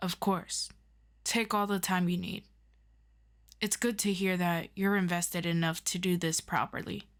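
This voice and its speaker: A woman playing a calm, formal superior of a superhero team in an audio roleplay, asking measured, probing questions. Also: on the low side. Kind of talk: scripted audio roleplay addressed to the listener.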